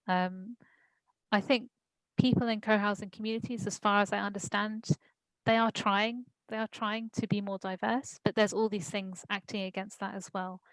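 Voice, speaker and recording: Measured woman, dry sound. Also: medium pitch, steady tone, dry recording, low-quality recording. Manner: thoughtful, measured, with frequent ums. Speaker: conference speaker. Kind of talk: Q&A discussion.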